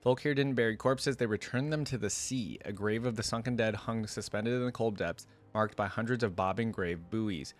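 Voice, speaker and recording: A man's voice: fairly low pitch, moderate pace, few pauses, faint music behind. A man hosting a reaction video, reading a story aloud and breaking off for casual, slangy commentary.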